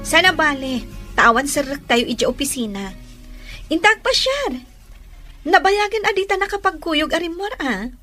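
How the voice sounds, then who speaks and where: A woman's voice: sits high, big pitch swings, normal speed, pushed and bright, faint music behind, heavily acted. A woman playing a demanding young city girlfriend in an audio drama, coaxing and whining in exclamations.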